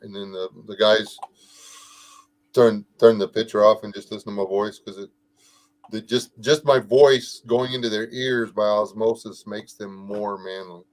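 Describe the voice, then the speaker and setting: A middle-aged man co-hosting a casual podcast livestream, a laid-back joking storyteller with a soothing voice and frequent filler pauses. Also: on the low side, fairly even intonation. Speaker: podcast co-host.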